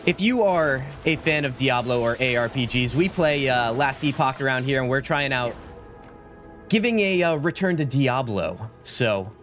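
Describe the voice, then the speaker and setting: Male streamer, 30s, relaxed rambling delivery, mid-pitched, a bit under the weather, faint game music. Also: moderate pace. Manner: laid-back, rambling, says "like" and "dude" a lot. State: sick, voice slightly deeper. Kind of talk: casual game stream chat. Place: small home room. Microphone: studio mic at desk, webcam framing.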